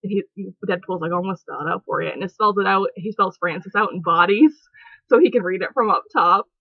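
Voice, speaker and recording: A woman's voice: mid-range voice, brisk, dry recording. A young woman chatting casually on her home vlog, giggly and rambling with lots of fillers. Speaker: vlogger. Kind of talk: casual movie review chat. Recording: dull lo-fi home recording.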